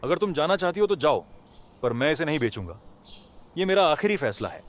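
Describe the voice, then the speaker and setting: A man's voice: fairly high pitch, big pitch swings, fairly quick. A man playing a tender-hearted poor villager in a cartoon moral story, with exclamatory, rustic colloquial speech. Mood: firm. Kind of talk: animated moral story acting.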